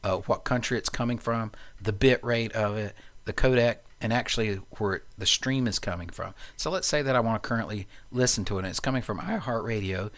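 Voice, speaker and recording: Male tutorial presenter, fairly low pitch, informal and unscripted, explains software step by step. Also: fairly quick. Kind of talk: informal screencast walkthrough.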